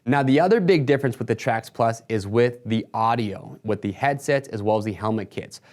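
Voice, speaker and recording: Male product presenter, medium pitch, casual and explanatory, walking viewers through gear for a retailer video. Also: fairly quick. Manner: casual, explanatory sales patter.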